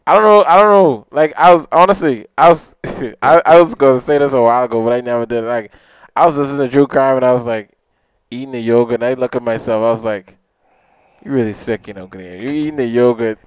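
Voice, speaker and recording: A young man co-hosts a relaxed podcast from a living-room couch, talking into a handheld mic. His voice is smooth and clear, and the sound is dry and close. He rambles in an easygoing way and often laughs at himself. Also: mid-range voice, quick pace, no room sound. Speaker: podcast co-host. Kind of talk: casual chat. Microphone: handheld mic with headphones.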